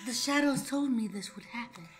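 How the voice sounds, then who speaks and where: A woman's voice: a little high, normal speed, lightly acted. A woman playing a haughty, mystical noble in an improvised comedy radio play, speaking pompously with dramatic repetition. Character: haughty, mystical member of a shadow-communing noble house. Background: faint music.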